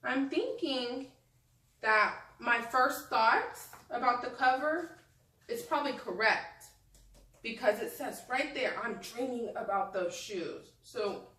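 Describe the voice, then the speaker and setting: A woman teacher reading a picture book aloud to young children, pausing to prompt them with questions and think out loud. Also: a little high. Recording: echoey room, distant microphone.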